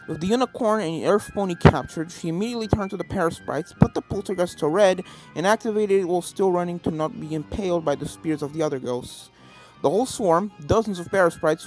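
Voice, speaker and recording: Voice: mid-range voice, poor audio. A reader giving scripted narration of a fan fiction story. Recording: game music behind the voice.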